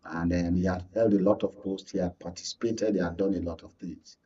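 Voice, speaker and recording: A Nigerian man giving unscripted political commentary as a guest analyst, explaining in a halting way. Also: low voice, fairly even intonation, mellow, husky, distant mic, lo-fi sound.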